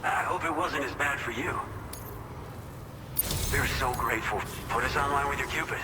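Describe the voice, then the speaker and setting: A man's voice: medium pitch, normal speed, natural voice. Man playing earnest outpost worker in heavy noise. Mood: grateful. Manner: effusive, earnest. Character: sincere, grateful male outpost worker. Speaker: game character actor. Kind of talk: cinematic video game dialogue. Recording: very loud vehicle-like ambient noise.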